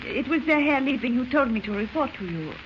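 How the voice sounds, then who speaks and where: Polite young bluffer, highish voice. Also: female voice, animated, a little fast. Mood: eager, bluffing. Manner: polite, slightly hesitant. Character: poised young woman bluffing as a secret agent. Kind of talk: 1940s radio drama acting. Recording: dull, noisy old radio recording.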